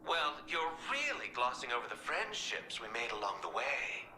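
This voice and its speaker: An actor voicing a manic, mocking psychopathic clown villain in a video game, with theatrical delivery. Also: male voice, mid-range voice, very animated, moderate pace, sharp tone, reverberant, a little hiss or hum. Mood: theatrical scheming.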